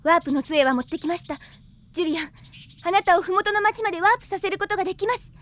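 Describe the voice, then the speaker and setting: Very high-voiced gentle young cleric. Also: female voice, fast talker. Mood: pleading. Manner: polite, soft-mannered, earnest. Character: gentle, earnest young female cleric, self-sacrificing. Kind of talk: Japanese radio drama acting.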